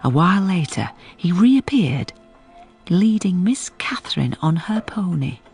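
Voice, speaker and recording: Low-voiced, sensible housekeeper. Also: female voice, sing-song, unhurried, darkish tone, faint music behind. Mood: measured, grave. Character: sensible housekeeper narrating a gothic tale, stern but loyal. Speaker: narrator. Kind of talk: dramatic first-person audio drama narration.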